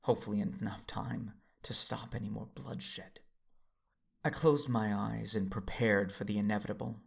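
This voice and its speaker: An actor playing a battle-weary warrior father who narrates his own duel in an audio drama, grave and intense, breathy in places. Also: on the low side, steady tone, normal speed, pushed and bright, heavily acted. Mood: resigned, breathy. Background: music.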